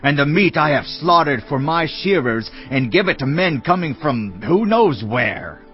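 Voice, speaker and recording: Snooty stingy landowner, indignant and contemptuous, bible drama, music under, bit muffled. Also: male voice, on the high side, big pitch swings, a little slow. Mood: scornful. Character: Nabal, scornful, stingy, surly wealthy landowner. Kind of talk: dramatized scripture, audio drama acting.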